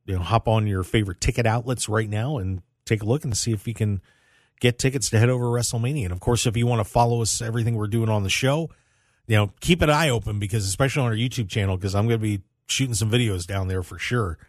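A man with a fairly low voice hosts a casual sports talk radio show. He speaks conversationally to his listeners, and the sound is dry and close, like a studio. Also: quick pace, no echo.

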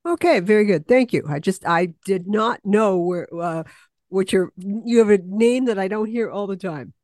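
A woman hosting a community podcast, introducing her guest and asking questions in a friendly, measured way. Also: mid-range voice, darkish tone, no echo, studio quality. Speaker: podcast host.

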